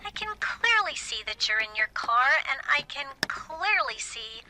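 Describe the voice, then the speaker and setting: A woman's voice: sits high, big pitch swings, unhurried, background noise. An actress in an audio drama playing a fretful, smothering middle-aged mother with a sugary, hesitant, disapproving manner. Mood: fretful, coaxing. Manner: hesitant, cloying, passive-aggressive.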